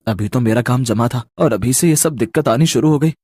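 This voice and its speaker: A man's voice: medium pitch, fairly quick, dark tone, husky, no echo, studio quality, natural voice. A man voicing a poor, hardworking young street vendor in a cartoon story, speaking in an earnest, plaintive and emphatic way. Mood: worried, pleading. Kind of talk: Hindi cartoon story dubbing.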